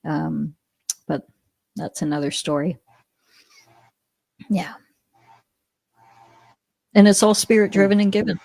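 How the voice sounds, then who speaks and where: Gravelly-voiced Canadian woman. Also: on the low side, slow, pauses often, dry recording. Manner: animated, laughs often, many restarts. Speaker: podcast guest. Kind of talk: casual interview storytelling.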